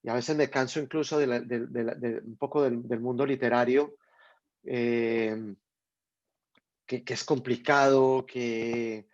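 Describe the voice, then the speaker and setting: A man's voice: mid-range voice, steady tone, a little slow, no room sound. A Colombian man, a writer, talking thoughtfully on a video call, often restarting and repeating words. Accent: Colombian (Medellín). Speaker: guest writer. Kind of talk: hesitant conversational talk on a video call. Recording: dull lo-fi video call audio.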